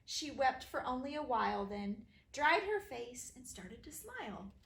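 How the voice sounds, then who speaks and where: A woman teacher reading children's stories aloud in an expressive, sing-song way for young listeners. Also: high-pitched, measured pace, vocal fry. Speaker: kindergarten teacher reading her own stories. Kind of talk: animated children's storybook reading. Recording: roomy echo, faint background noise.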